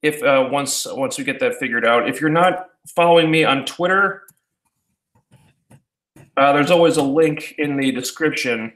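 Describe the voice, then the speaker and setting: A man's voice: medium pitch, a bit bright. Rambling man in an echoey room. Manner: halting, many fillers and restarts. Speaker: YouTuber answering viewer questions. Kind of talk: casual rambling chat.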